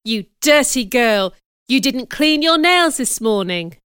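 Cruel schoolmistress, acted by a woman narrator, shrill, furious, barking, high, crisp, audiobook. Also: lively intonation, slow, fairly bright tone, dry recording, studio quality. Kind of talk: audiobook character voice.

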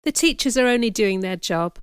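A woman voicing a gentle, patient, forgiving schoolgirl in an audiobook, speaking quietly and calmly. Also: a little high, a little fast, clear, resonant tone, no echo, studio quality, close to an everyday voice. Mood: resigned, calm. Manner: quiet, soft, calm. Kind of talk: audiobook character voice.

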